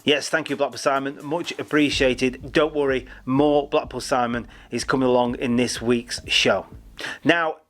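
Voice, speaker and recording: A man's voice: mid-range voice, fairly even intonation, normal speed, fairly bright tone, faint music behind. Chatty man hosting a news roundup. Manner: chatty, jokey, stumbles over names. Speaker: host of a railway news show. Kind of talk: upbeat news roundup presenting.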